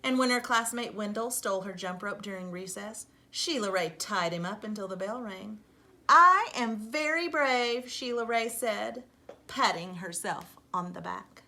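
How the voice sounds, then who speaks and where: A woman teacher reading a storybook aloud to young children, expressive and emphatic, speaking to them directly. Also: mid-range voice, normal speed, creaky.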